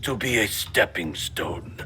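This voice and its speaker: Deep, grieving sword master. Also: male voice, expressive, sharp tone, slight background noise, heavily acted. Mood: weary, bitter. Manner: grave, curt, deliberate. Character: weary, grieving master swordsman, embittered by failure. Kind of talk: dramatic game cutscene acting.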